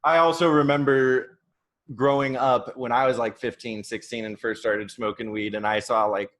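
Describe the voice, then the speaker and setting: A man of thirty from Oregon, a podcast guest, chatting in a relaxed, rambling way with many fillers. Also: medium pitch, moderate pace. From Oregon, USA. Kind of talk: casual chat.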